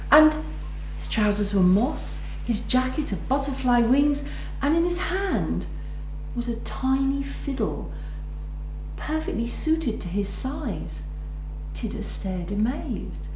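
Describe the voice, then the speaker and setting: Mellow woman storyteller in an echoey room. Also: fairly high pitch, big pitch swings. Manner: measured, dramatic pauses and emphasis. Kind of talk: live oral folk tale storytelling. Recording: roomy echo with background noise.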